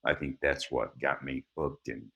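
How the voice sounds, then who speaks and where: A middle-aged man with a deep voice, a guest actor telling stories in a relaxed interview, often laughing and restarting sentences. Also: measured pace, creaky, slightly rough, dull audio. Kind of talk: conversational storytelling.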